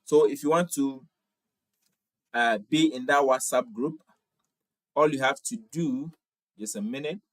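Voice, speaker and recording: A man's voice: medium pitch, unhurried, pauses often, no room sound. A man in his twenties from Ghana with a Ghanaian accent, hosting a casual livestream, reading viewer comments aloud and answering them in a friendly way, often repeating himself. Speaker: livestream host.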